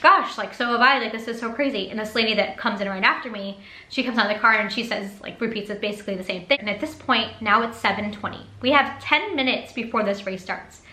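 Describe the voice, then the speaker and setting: A young woman vlogger telling a casual story in a chatty, animated way, often acting out quotes. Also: medium pitch, fast talker. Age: young adult. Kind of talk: casual story time vlog. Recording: roomy echo.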